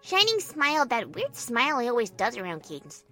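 A woman voicing a giggly, excitable little girl who tells a storybook tale of her play with childlike glee. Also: fairly high pitch, brisk, faint music behind, heavily acted. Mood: gleeful, fond. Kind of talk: storybook narration in character.

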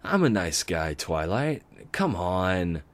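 A man's voice: deep voice, big pitch swings, slow, exaggerated voice. A man voicing a cheeky, eager young male baby dragon assistant in a boyish voice. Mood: pleading.

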